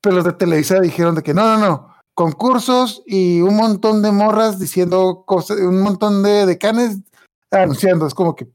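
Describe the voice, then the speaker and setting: A man's voice: high-pitched, moderate pace. A man, co-hosting a casual podcast chat, joking and slangy, often acting out little dialogues. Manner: joking, slangy storyteller, imitates voices. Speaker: podcast co-host.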